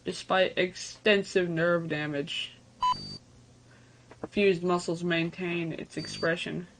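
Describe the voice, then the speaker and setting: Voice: medium pitch, little pitch movement, slow pace. A casual reaction video host with a mid-range voice, reading text aloud and commenting on it in an offhand way. There is some faint noise and clicking in the recording. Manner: casual asides between read-out passages.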